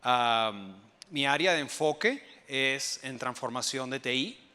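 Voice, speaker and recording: A man from Venezuela with a Venezuelan accent, giving a conference presentation as an enterprise architect, pausing often with fillers and restarts. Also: medium pitch, animated, sharp tone, clean tone. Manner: hesitant, many fillers and restarts. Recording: long hall reverb.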